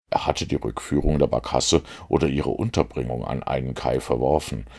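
A shrewd, methodical storybook detective acted out by a man reader speaks in an extremely low voice. It crackles with gravel as he lays out his reasoning deliberately, fully sure of himself. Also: darkish tone, full character voice. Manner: deliberate, explanatory.

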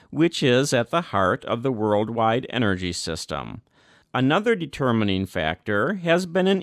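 A man reading a formal document aloud as scripted narration, in a measured, clearly phrased manner. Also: medium pitch, dry recording, clean studio sound. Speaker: reader.

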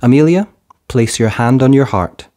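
A man's voice: medium pitch, lively intonation, normal speed, warm tone, voice pushed up, lightly acted. Man voicing sincere, lilting nine-year-old boy. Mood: caring reassurance.

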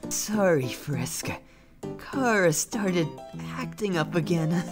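A woman voicing a gentle, friendly goat child in a comic dub, hesitant and easily flustered. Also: lowish voice, lively intonation, slow pace, faint music behind. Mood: apologetic.

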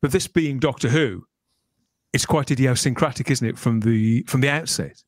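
A man's voice: medium pitch, normal speed, dry recording. A middle-aged man interviewing as a podcast host, talking discursively with frequent tag questions into a close desk microphone. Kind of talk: conversational interview. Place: small furnished room at home.

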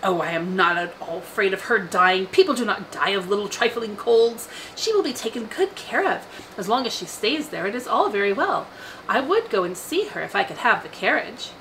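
A woman voicing an excitable, boastful middle-aged mother in an audiobook, gushing and insistent. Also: medium pitch, normal speed, slight background noise, low-quality recording. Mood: breezy, insistent. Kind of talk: audiobook character voice.

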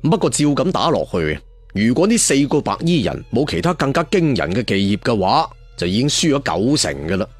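A man's voice: mid-range voice, very animated, brisk, husky, no echo. A man with a Cantonese accent narrating a martial arts story dramatically, with conversational asides. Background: faint music.

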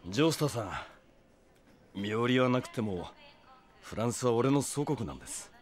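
A man's voice: mid-range voice, lively intonation, normal speed, close to an everyday voice. An actor playing a brash, sentimental young Frenchman in a Japanese audio drama, heartfelt and dramatic. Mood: tender, wistful. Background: music.

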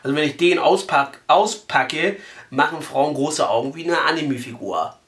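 Young guy doing a prank call, kinda high voice, brash n crude, lots of room echo. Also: animated, moderate pace, fairly bright tone. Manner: brash, crude, mock-earnest, colloquial. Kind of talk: casual prank phone call. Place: small living room, indoors.

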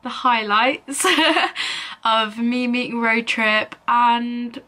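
A young woman chatting casually to camera as a vlogger in a small bedroom, informal and a little halting. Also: fairly high pitch, little pitch movement, pushed and bright. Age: young adult. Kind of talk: casual sit-down chat to camera.

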